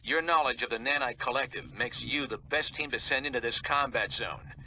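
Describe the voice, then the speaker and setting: An actor playing a serious team leader delivering a video game mission briefing. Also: male voice, mid-range voice, fairly quick, background noise, lightly acted. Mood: grave.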